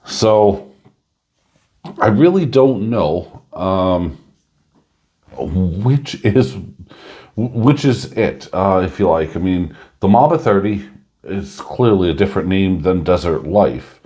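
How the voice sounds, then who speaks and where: A man chatting casually through a coin review, rambling with lots of fillers and a slightly hoarse voice. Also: low-pitched, lively intonation, takes it slowly, dark tone.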